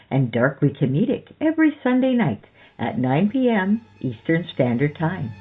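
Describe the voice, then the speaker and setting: A podcast host giving scripted horror narration in a theatrical, measured storytelling manner. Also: mid-range voice. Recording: muffled lo-fi sound under music. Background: background music.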